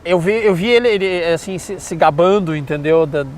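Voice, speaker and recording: A Brazilian man with a Curitiba accent talks quickly in a bright, fairly high voice. He is opinionated and talkative, and a faint hum of city traffic comes through from outdoors. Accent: Curitiba Brazilian Portuguese. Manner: talkative, opinionated, frequent "entendeu?". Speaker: interviewee. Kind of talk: casual interview chat.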